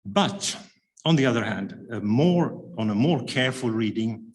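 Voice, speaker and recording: Man giving a measured lecture. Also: mid-range voice, animated. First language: Swedish. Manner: measured and careful, with frequent hesitation fillers. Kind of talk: academic lecture read from a prepared paper.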